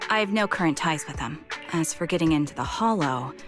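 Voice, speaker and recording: A woman voicing a retired female soldier in a video game, polite, measured and quietly determined. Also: deep voice, lively intonation. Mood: polite, composed. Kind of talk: scripted video game dialogue. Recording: clean voice under loud game music.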